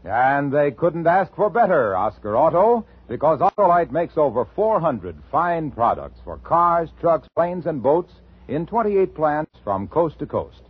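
A man's voice: fairly high pitch, big pitch swings, measured pace, slightly rough, no echo. An American man announcing and reading advertising copy on 1950s radio in an emphatic, formal delivery. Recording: thin, tinny vintage radio recording.

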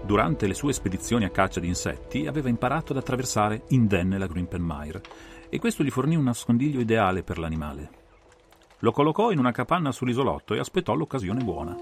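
A man performing an analytical gentleman detective recounting a solved case in a dramatized audiobook, with measured, theatrical phrasing. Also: on the low side. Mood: measured, grave. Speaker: audiobook reader and interpreter.

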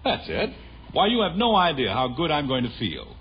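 Sitcom dad: proudly defends himself, animated pitch, old-time radio comedy, dull hissy sound. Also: male voice, normal speed. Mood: cheerful, stubborn. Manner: explanatory, self-satisfied. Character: cheerfully stubborn suburban father defending a silly bargain. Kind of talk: 1950s radio sitcom acting. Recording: muffled old radio recording with hiss.

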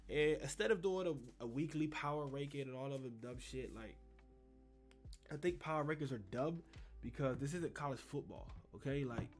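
Casual male sports host, congested, rambling and profane. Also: medium pitch.

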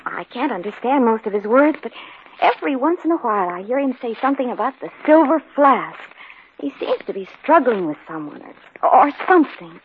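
An actress playing an anxious wife, hesitant and expressive, in 1950s radio drama style. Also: female voice, on the high side, a little fast. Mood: worried. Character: anxious young wife fearing for her husband. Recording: tinny, noisy old radio recording.

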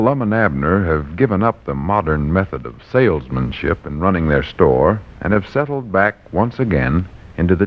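Male American announcer, vintage radio ads and narration, deep and expressive; dull period sound. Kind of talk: 1940s radio advertising and scripted narration.

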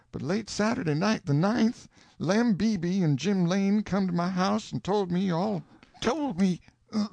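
A grieving country witness, read by a man, tells his story in court plainly and somberly. His voice is high and gravelly, in folksy rustic dialect, recorded dry and close. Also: no room sound.

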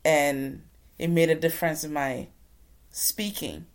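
A woman's voice: low-pitched, steady tone, measured pace. A woman from Ghana giving an inspirational talk, telling her own story in an earnest, preacher-like way. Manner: earnest, preacher-like addresses to the audience. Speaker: motivational speaker.

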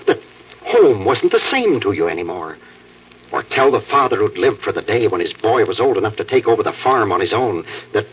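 An American actor playing a fatherly night editor, telling stories in a reflective, halting, dramatic 1940s radio manner. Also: medium pitch, husky. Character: fatherly newspaper night editor spinning wistful human-interest yarns. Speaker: storyteller. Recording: muffled, distant old radio broadcast.

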